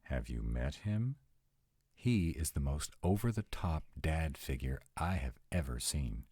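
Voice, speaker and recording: A man performs a sarcastic male vampire in an intimate roleplay audio, with an extremely low, husky voice. He talks expressively and conversationally, an anxious immortal who still sounds young at heart, his pitch swinging widely. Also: animated, unhurried, mellow, dry recording, studio quality, full character voice. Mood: sardonic, musing. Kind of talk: intimate one-sided roleplay audio acting.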